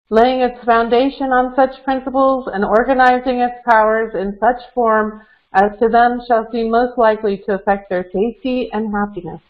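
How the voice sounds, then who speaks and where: A woman's voice: a little high, muffled recording. A woman reading a historical document aloud formally as a volunteer. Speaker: volunteer reader.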